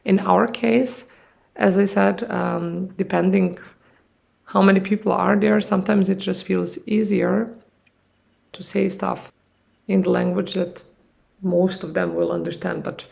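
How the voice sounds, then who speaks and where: A woman in her thirties, a native Serbian speaker, hosting a podcast in thoughtful, unhurried English as a second language. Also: medium pitch, level tone, creaky, a little mellow, slightly rough. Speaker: podcast host. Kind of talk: casual solo podcast talk.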